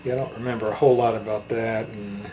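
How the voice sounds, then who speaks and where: A man in a casual interview chat, reminiscing in a halting way. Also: fairly low pitch, little pitch movement, dark tone, slight background noise, low-quality recording. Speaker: interviewer, channel host.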